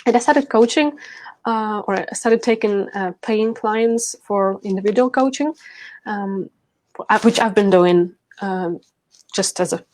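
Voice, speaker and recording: A woman's voice: medium pitch, unhurried, a little mellow, slight background noise. Woman telling her story. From Ukraine. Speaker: interview guest, leadership and team coach. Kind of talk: conversational personal storytelling.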